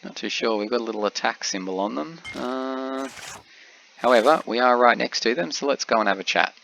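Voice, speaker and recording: A man with a cold, sounding a little congested, giving relaxed game commentary with frequent pauses. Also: medium pitch, creaky, slight background noise, rough recording. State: has a cold, slightly congested. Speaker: gameplay commentator.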